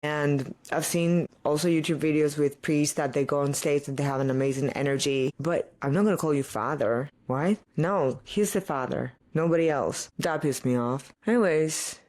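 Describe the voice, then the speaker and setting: Solo podcast host with a Greek accent, a native Greek speaker; earnest, questioning confessional rant at a middle pitch. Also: normal speed, few pauses, a little mellow. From Greece. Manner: earnest, questioning, ranting with rhetorical questions and frequent "like". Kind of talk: casual solo confessional talk.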